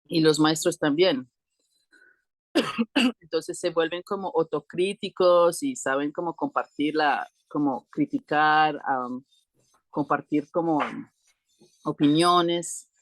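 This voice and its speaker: A middle-aged woman explaining things conversationally as an interview guest, halting and often clearing her throat. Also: low voice, no echo, poor audio. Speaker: interview guest, co-founder of an alternative school.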